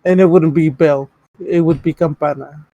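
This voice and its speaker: A man's voice: fairly high pitch, fairly even intonation, normal speed, warm tone, no echo, muffled recording. A man teasing friends in casual chat with sarcastic, mock-threatening jokes. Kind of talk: casual banter.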